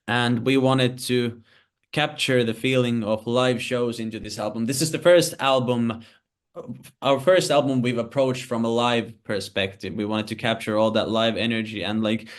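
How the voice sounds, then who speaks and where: A Finnish-accented man, a musician guest on a podcast, whose native tongue is Finnish. He works through his answer point by point in English, with plenty of "like" fillers and small restarts. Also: mid-range voice. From Finland. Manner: thoughtful, lists points with many "like" fillers. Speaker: band member, guest.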